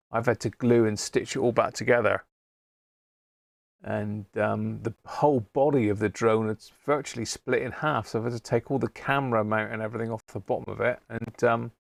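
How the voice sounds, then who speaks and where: Gravelly British man, casual livestream chat. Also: medium pitch, dry recording. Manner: rambling, matey. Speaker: host of a live radio-repair stream.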